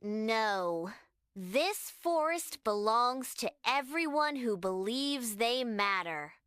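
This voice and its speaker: A woman's voice: fairly high pitch, expressive, takes it slowly, fairly bright tone, smooth, no room sound, studio quality. A woman voicing a small, earnest preschool girl who is loyal and brave, in a children's bedtime story. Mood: calm, resolute.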